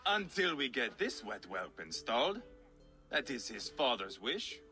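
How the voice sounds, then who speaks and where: An actor voicing a fierce, mocking, bloodthirsty Viking warrior in a video game, with a crude, theatrical, taunting manner. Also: male voice, medium pitch, big pitch swings, unhurried, sharp tone. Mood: scornful. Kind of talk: video game character acting. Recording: music behind the voice.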